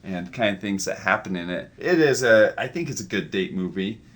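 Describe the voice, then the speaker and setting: A man's voice: fairly low pitch, animated, brisk, fairly bright tone. A man co-hosting a family movie review, chatting casually and chuckling as he plays along with jokes. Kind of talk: casual joking chat.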